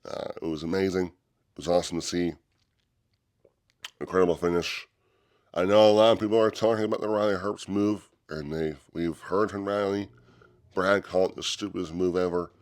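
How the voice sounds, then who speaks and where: A young man with a very low voice and a blocked, stuffy nose from a cold talks through a solo podcast. He keeps the microphone right at his mouth and wanders loosely, restarting sentences and filling gaps with "uh" and "um.". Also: fairly even intonation, moderate pace. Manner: rambling, loose delivery with many fillers and restarts. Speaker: podcast host. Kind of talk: casual solo podcast talk. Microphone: microphone held close to the mouth.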